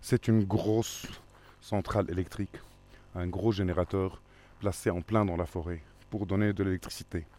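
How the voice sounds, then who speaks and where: Guy with a really low voice just commenting on what he sees on a walk. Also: fairly even intonation, moderate pace, a little mellow. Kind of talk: spontaneous observation while walking. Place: outdoors in a forest.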